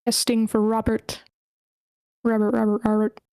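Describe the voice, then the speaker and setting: A woman's voice: fairly high pitch, completely flat delivery, normal speed, warm tone, no room sound, rough recording. A woman hosting an online script table read, chatting casually in an informal, playful way. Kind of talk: casual chat, moderating an online meeting. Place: online voice meeting, dry close sound.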